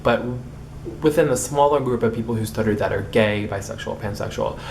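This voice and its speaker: A man's voice: lowish voice, a little fast, creaky, darkish tone, a little hiss or hum. A young man who stutters, with repeated starts and blocks, chatting casually to camera in a vlog. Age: young adult. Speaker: vlogger.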